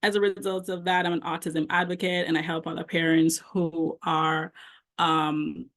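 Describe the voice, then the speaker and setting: A woman's voice: deep voice, level tone, moderate pace, a bit bright. A middle-aged woman with a Jamaican accent, a book coach giving a conversational presentation with frequent ums. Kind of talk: online presentation.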